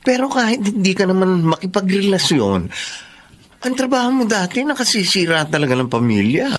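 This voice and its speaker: An actor playing a gentle, loyal male friend in a radio drama, speaking calmly and reassuringly. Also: high-pitched, a little fast. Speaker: supportive friend. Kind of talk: Tagalog radio drama acting.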